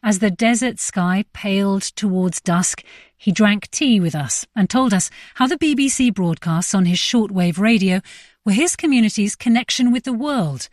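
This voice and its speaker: A female radio presenter reads her script with composed, measured delivery. Her voice is mid-pitched for a woman, recorded dry with no room sound. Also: a little mellow, no room sound.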